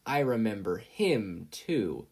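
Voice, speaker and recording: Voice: medium pitch, big pitch swings, takes it slowly, a little mellow, exaggerated voice. A narrator voicing a bold, sarcastic young woman with an emphatic, wry way of retorting.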